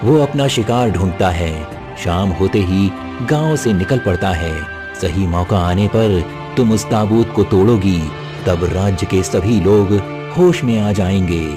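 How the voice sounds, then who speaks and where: A man's voice: deep voice, a little slow, hardly any pauses, over music. A man voicing a wise, solemn old hermit in a cartoon moral story, grave and instructive.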